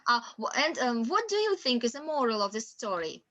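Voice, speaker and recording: A middle-aged woman with a Russian accent speaks in a casual group discussion as facilitator, halting and repeating words as she searches for English. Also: on the high side, very animated, a bit bright, muffled recording.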